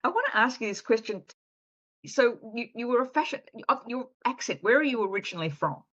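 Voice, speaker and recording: A woman's voice: fairly high pitch, sing-song, fairly quick, fairly bright tone, lo-fi sound. A middle-aged woman hosting an interview, chatty and enthusiastic, often restarting her sentences. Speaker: podcast host. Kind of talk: casual interview chat.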